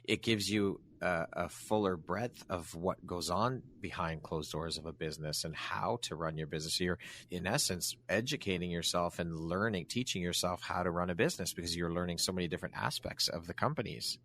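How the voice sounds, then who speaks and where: A man's voice: deep voice, brisk, hardly any pauses, a bit bright. A man hosting a podcast interview, chatty and affirming, summing up what his guest says. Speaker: podcast host. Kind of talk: casual interview talk.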